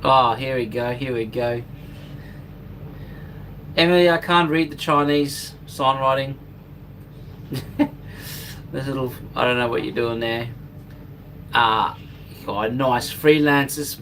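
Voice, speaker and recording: A man from Germany chats to viewers from a crane cab, drawling along at a slow pace in a mid-range voice. He keeps up easygoing, joking banter as he works. The mic sits away from him, with steady machine noise clearly heard behind. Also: mid-range voice, distant mic. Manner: laid-back, joking banter. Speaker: crane operator. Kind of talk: live-streamed casual chat while working.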